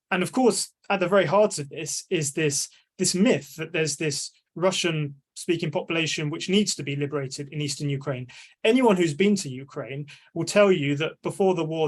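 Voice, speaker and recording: A young man on a video call, giving a thoughtful panel answer with frequent ums and restarted phrases. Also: fairly high pitch. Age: young adult. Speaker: panelist, media analyst.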